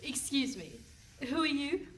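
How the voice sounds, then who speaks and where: Woman playing lively young female private investigator. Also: high-pitched, a little slow, full character voice. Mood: cool, dry. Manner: dry, matter-of-fact. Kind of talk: comic radio play before a live audience. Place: hall stage with a live audience. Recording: distant stage pickup with some noise.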